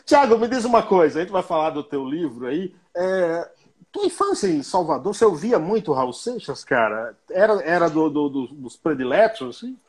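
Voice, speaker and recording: A man's voice: a little high, big pitch swings, measured pace, breathy edge. A man hosting an interview, chatty and jokey, with short affirmations. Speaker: interviewer host. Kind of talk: casual interview conversation.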